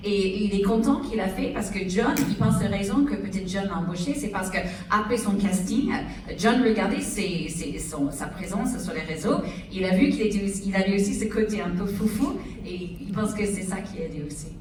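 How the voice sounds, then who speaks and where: A woman interviewing and interpreting guests' answers into French, with quick paraphrasing and fillers. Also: medium pitch. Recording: echoey room, distant mic, faint noise.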